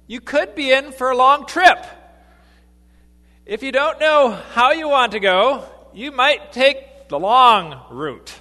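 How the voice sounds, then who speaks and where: A man, a lay missionary, preaching a sermon in a church, halting with fillers and restarts. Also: very high-pitched, pushed and bright, in a large hall. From grew up partly in Ecuador, then US.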